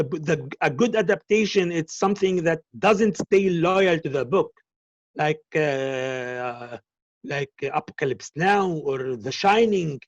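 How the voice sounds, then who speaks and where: A man whose first language is Arabic, answering interview questions about filmmaking in a halting way and often repeating words. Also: fairly high pitch, no echo, highs cut off. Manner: halting, repeats words while searching for them.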